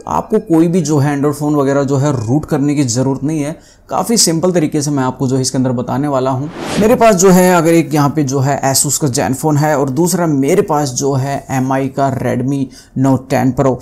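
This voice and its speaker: A man's voice: medium pitch, normal speed, darkish tone. A man in his thirties with an Indian accent, hosting a casual tutorial in a conversational, explanatory way. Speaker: tech video host. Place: small indoor desk studio.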